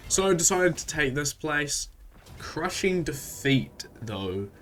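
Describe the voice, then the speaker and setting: A man with a stuffy voice from a cold, hosting a casual, relaxed recap of a strategy game with frequent fillers. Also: on the high side, slow. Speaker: gaming channel host.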